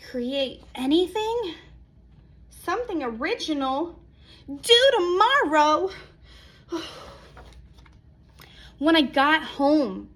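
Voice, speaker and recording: Female storyteller, high voice, expressive picture-book read-aloud delivery with dramatic pauses. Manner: animated, acts out exclamations, dramatic pauses.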